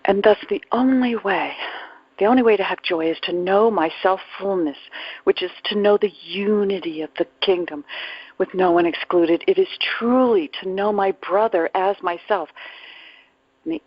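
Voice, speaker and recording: A woman leading a guided spiritual meditation in a contemplative, unhurried way, speaking phrase by phrase with long pauses, her voice breathy and at times close to a whisper. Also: medium pitch, no room sound. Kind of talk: guided meditation, reflective spiritual talk with readings. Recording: dull, muffled lo-fi audio.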